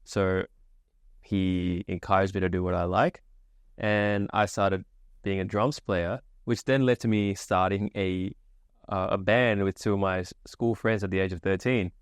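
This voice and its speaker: A man's voice: low-pitched, fairly even intonation, a little slow, smooth, dry recording, studio quality. A young man from Sri Lanka, a guest on an interview podcast, telling his story in an easygoing, casual way with plenty of fillers. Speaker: guest, agency founder. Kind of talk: relaxed interview answers.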